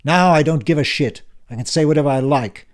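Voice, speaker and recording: A man's voice: fairly high pitch, a little fast, voice pushed up, lightly acted. A man voicing a brash, impatient older uncle who talks in a cutting, bossy way in an audiobook. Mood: brusque. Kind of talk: audiobook character voice.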